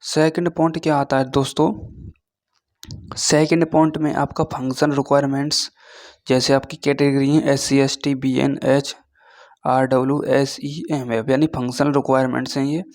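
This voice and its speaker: A man giving an informal explainer lesson as an online teacher, reading through points haltingly and often checking in with short tag words. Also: medium pitch, level tone, darkish tone. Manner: switches between Hindi and halting read-out English.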